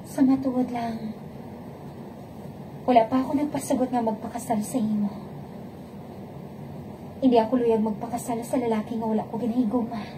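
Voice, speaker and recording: A woman's voice: on the high side, fairly even intonation, moderate pace, pauses often, background noise, close to an everyday voice. A woman playing an earnest, principled young bride-to-be in a radio romance drama, with a Hiligaynon Filipino accent. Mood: earnest, calm.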